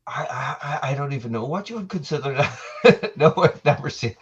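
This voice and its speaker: A man's voice: mid-range voice, expressive, fairly quick, highs cut off, a little hiss or hum. An Irish man, a podcast guest, chatting casually over a video call, often stammering and restarting with a laugh. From Ireland. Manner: stammering restarts, laughing, reading chat aloud. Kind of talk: casual livestream chat.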